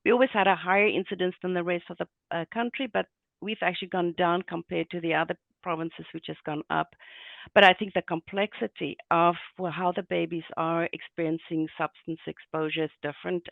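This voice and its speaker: A man's voice: fairly high pitch, steady tone, a little fast, vocal fry, no room sound. A man with a South African accent, a neonatal nurse, explaining things earnestly in a remote interview. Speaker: neonatal nurse and guest presenter. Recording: tinny phone-like dry call audio.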